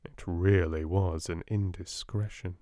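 Soft-spoken British man reading a storybook. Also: low voice, no echo, clean studio sound. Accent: British English. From Britain. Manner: gentle, calm and unhurried. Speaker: audiobook narrator. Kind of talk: soft-spoken read-along narration. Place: indoors at a table. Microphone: close mic over a book on a table.

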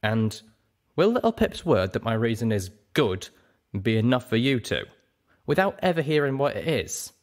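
A man voicing a huge, old, lonely male dragon, grave and deliberate, in an audiobook. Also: mid-range voice, big pitch swings, pitched above the performer's own voice. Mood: guarded, grave. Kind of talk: audiobook character voice.

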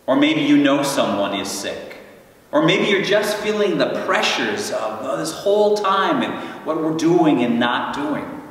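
Slow, reflective priest in an echoing church. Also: male voice, on the high side, fairly bright tone. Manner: slow, reflective and guiding, with long pauses. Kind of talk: Good Friday homily. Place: large church sanctuary. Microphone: stand mic some way off, filmed by a far camera. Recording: roomy church reverb, voice from a distance.

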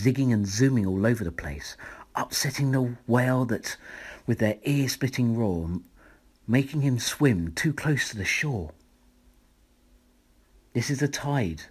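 A man reading a rhyming children's picture book aloud as a bedtime story, in a measured, dramatic way. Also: mid-range voice, animated, breathy edge.

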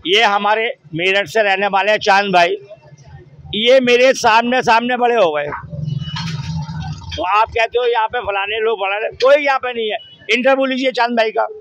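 A man's voice: high-pitched, moderate pace, fairly bright tone, dry recording. A middle-aged man giving his opinion emphatically in a street interview, often asking rhetorical questions. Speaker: local resident interviewed on the street. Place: outdoors in a busy market street. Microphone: handheld reporter's microphone. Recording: dull, lo-fi street recording.

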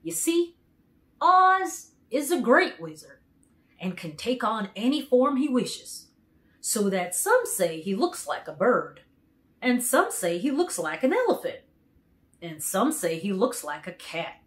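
A woman voicing an emphatic, awed countryman who knows of a great wizard, in a deliberate storybook read-aloud. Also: a little high, very animated, a bit bright, voice pushed up, heavily acted. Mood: thoughtful, dramatic.

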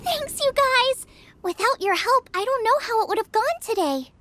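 A cheerful young girl chef from a video game, with a very high, highly animated voice. She is bubbly, eager and generous, full of passion as she speaks. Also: normal speed, a little hiss or hum. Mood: warm, generous. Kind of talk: video game character acting.

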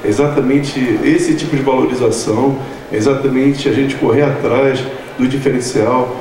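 A man's voice: medium pitch, steady tone, darkish tone. A man speaks earnestly at an event, stumbling now and then. His voice is distant and heavily echoing. A faint room noise lies underneath. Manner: earnest, halting. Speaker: industry union president. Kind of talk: speech at an event. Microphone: handheld mic, heard from far. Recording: echoey hall, distant.

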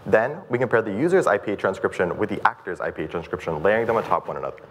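A young man from Kyrgyzstan runs a product demo on a stage. His voice is low and he talks quickly, briskly explaining each step to the room. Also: lively intonation. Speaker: researcher presenting a demo. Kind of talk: scripted demo presentation. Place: stage in a mid-sized event room.

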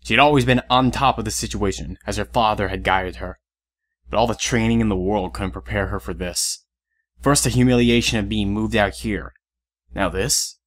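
A man narrates an audio drama in a low voice. He reads scripted story prose as the narrator. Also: fairly even intonation, moderate pace, fairly bright tone.

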